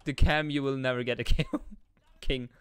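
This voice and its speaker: A man's voice: medium pitch, steady tone, moderate pace. A man from Switzerland with a Swiss German accent, livestreaming and bantering casually while gaming, in a playful, teasing way. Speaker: live game streamer.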